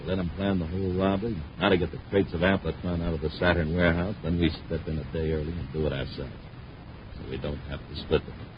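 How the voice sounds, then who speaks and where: An actor playing a boastful, tough, scheming space crook in a 1950s radio adventure. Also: male voice, low-pitched, fairly even intonation, quick pace, natural voice. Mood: defiant. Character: cocky, scheming male space crook boss. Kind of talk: 1950s radio villain acting. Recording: dull, faintly noisy 1950s radio recording.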